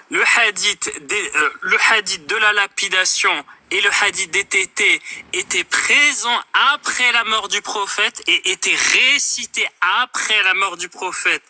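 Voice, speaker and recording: A man's voice: high-pitched, measured pace, bright, forceful tone. A man hosting a heated live-stream debate from his phone. He talks over the others and repeats himself insistently.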